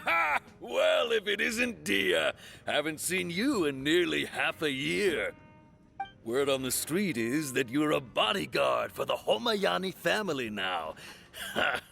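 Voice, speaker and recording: An actor playing a jovial, hearty tavern keeper in a game, with a gravelly voice. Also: male voice, on the high side, sing-song, slow, bright, forceful tone, faint music behind, full character voice. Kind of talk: game voice acting.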